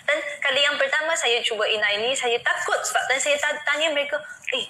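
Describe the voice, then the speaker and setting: A woman's voice: high voice, a little fast, sharp tone, a little hiss or hum. A woman from China, a native Mandarin speaker with a Chinese accent, chatting casually as an interview guest over an internet call.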